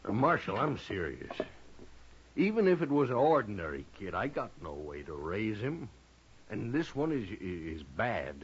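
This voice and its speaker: An actor playing a gruff, worried frontier father in a radio western, blunt and folksy. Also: fairly low pitch, big pitch swings, unhurried, slight background noise. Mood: alarmed, insistent. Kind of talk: old-time radio western acting. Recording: muffled old radio recording.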